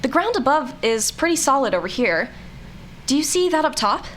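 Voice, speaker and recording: A woman playing a snarky, brisk scientist in an audio drama, with a dry, instructing manner. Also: high voice, big pitch swings, fairly bright tone, slight background noise. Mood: curious, focused. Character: snarky, brisk female scientist exploring a planet.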